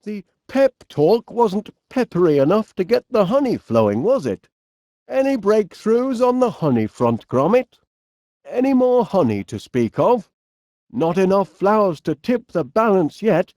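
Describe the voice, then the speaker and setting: A cheerful, eccentric middle-aged inventor from a cartoon video game. He has a high voice with a Northern English accent that swings widely up and down. He talks unhurriedly and chummily, musing aloud in genteel, old-fashioned turns of phrase. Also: sing-song, measured pace, dark tone, dry recording, studio quality. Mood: puzzled musing. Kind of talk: animated video game character voice lines.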